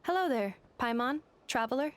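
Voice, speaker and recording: A woman's voice: high voice, animated, normal speed. A woman voicing a polite, literal-minded female robot helper in a video game, formal and precise. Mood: formal, composed.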